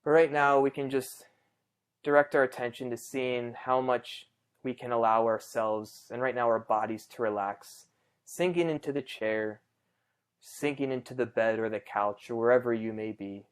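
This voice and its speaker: Male meditation guide, medium pitch, smooth and clear. Conversational, reassuring, takes his time. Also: steady tone. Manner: gentle, reassuring, unhurried with long pauses.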